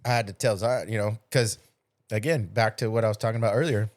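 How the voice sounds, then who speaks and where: A man's voice: lowish voice, fairly even intonation, fast, a little mellow, no echo. A middle-aged man in a casual podcast chat, telling personal stories with acted-out quotes and loose, salty language. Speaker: podcast host.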